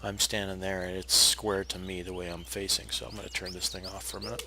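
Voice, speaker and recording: A hoarse, raspy man who is feeling unwell, casually talking viewers through a game build step by step with plenty of pauses and restarts. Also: low voice, slight background noise. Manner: relaxed, explanatory, with frequent uh fillers and restarts. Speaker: game tutorial narrator. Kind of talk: casual step-by-step gameplay tutorial.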